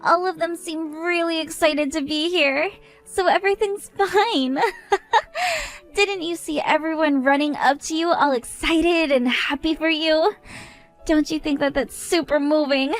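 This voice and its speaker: A woman's voice: high voice, unhurried, faint music behind, voice pushed up. A woman voicing a drunk, mocking young woman who teases in a sing-song voice and keeps breaking into cackling laughter.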